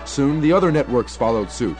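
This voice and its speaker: A young man narrating a scripted radio documentary in a formal, measured announcer's manner. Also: medium pitch, animated, a little mellow, faint music behind. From United States. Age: young adult.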